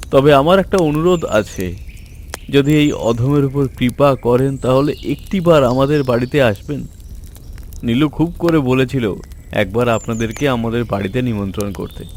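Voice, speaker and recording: A man's voice: mid-range voice, a little mellow, slight background noise, natural voice. A man playing a humble, grateful village father in a fairy-tale cartoon, deferential and pleading.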